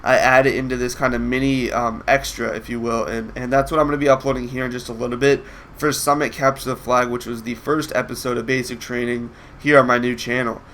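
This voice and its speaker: A man's voice: medium pitch, flat delivery, fairly quick, few pauses, fairly bright tone, a little hiss or hum. A young man with a nasal, congested voice, feeling sick, giving casual commentary over a video game match with lots of ums and restarts. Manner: rambling with many ums and restarts. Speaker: gameplay commentator.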